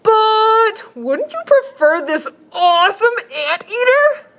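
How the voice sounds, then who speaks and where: A woman voicing an eager male animal shelter worker who pitches odd pets slowly and showily. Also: sing-song, fairly bright tone, exaggerated voice. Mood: showy pleading. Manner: slow, pleading, showy salesman pitch.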